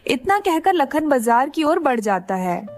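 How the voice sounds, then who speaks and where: High-pitched woman narrating a children's story. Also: very animated, measured pace, darkish tone, faint music behind.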